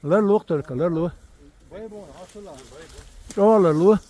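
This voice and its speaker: A man's voice: sits high, drawn-out words, warm tone. A man from Chechnya chatting casually and joking with friends on a hike, outdoors in a mountain gorge. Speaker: hiker.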